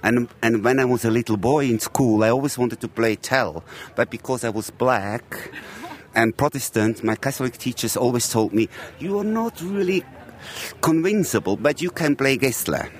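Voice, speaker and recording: A man from Switzerland telling a personal story in an outdoor street interview, chatty and warm. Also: mid-range voice, moderate pace. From Uri, Switzerland. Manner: chatty storyteller, says "you know". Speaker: member of the public. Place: outdoors at a gathering.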